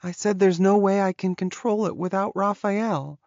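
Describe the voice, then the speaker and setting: A woman's voice: medium pitch, steady tone, moderate pace, darkish tone, no room sound, highs cut off, close to an everyday voice. A woman playing an anxious, self-doubting young werewolf in a dramatized audiobook, earnest and pleading.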